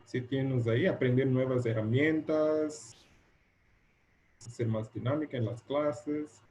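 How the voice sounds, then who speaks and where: A man with a soft, mellow voice and a Portuguese-speaker's accent presents informally over a video call. He speaks haltingly, repeating words while he looks for the right one. There is faint noise behind him. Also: mid-range voice. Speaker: guest lecturer, doctoral candidate.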